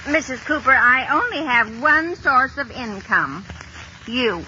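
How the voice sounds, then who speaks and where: Knowing maid, dry wit, slow delivery, 1950s radio comedy, very noisy old recording. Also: female voice, high-pitched, lively intonation, lo-fi sound. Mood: dryly polite. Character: wry, slow-talking loyal housemaid in radio sitcom.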